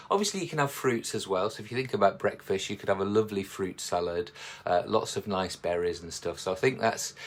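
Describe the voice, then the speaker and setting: A man and slimming coach talking live to his viewers in a chatty, encouraging way. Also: low voice. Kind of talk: live social media talk.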